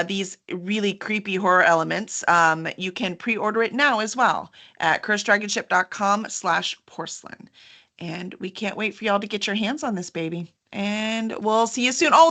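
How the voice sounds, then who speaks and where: A woman's voice: mid-range voice, sharp tone. A woman hosting a casual promotional chat in an enthusiastic, informal way. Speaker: host, book publisher.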